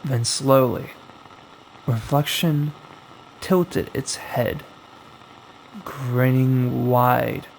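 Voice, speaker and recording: A man narrating a scripted horror story as its storyteller, in a dramatic, suspenseful way with long pauses. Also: mid-range voice, steady tone, creaky, slight background noise, rough recording. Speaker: storyteller, host of a horror anthology.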